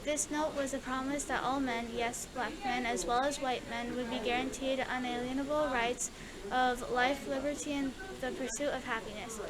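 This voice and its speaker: A female student reading a speech aloud haltingly, stumbling over words. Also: high voice, fairly even intonation. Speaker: student reader. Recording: loud crowd chatter behind.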